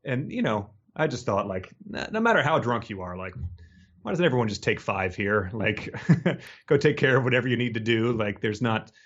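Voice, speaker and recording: A man's voice: mid-range voice, lively intonation, moderate pace, a bit bright, dull audio. A man co-hosting a podcast in casual comedic chat, riffing and often laughing as he talks. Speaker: podcast co-host.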